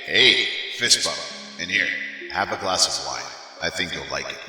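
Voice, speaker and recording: Booming, echoing, jovial God. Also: male voice, expressive, moderate pace, sharp tone, exaggerated voice. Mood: jovial, chatty. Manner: grand yet casual and jokey. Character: God, breezy, folksy, jovial older male deity. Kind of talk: comic audio drama acting. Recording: echoing hall reverb, music underneath.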